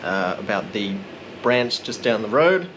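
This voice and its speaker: A man narrating a casual vlog in a relaxed, rambling, self-deprecating way. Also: on the low side, measured pace, background noise. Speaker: vlogger.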